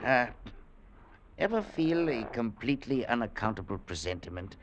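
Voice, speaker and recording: A cold, aloof professor, played by a man in a vintage radio play. He talks in a dry, clipped, detached way, his pitch swinging widely. A faint hiss sits behind him. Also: big pitch swings, normal speed, lo-fi sound, natural voice. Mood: uneasy. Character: cold aloof older male professor, nervous. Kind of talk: old-time radio drama.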